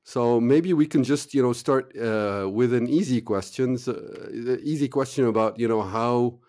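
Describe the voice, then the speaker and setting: A man hosting a podcast interview conversationally, with halting, hesitant phrasing. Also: mid-range voice, a little mellow, no room sound, studio quality. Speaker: podcast host.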